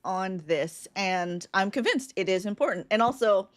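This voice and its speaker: A woman's voice: mid-range voice, expressive, moderate pace, dry recording. A woman co-hosting a podcast, thinking aloud casually with many fillers. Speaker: podcast co-host. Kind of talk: casual live podcast brainstorm.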